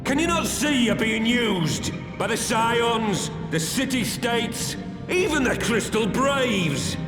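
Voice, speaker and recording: A bitter sneering mercenary captain. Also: male voice, very high-pitched, lively intonation, slow pace, heavily acted. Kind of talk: video game voice acting. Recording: echoey game cutscene with loud music.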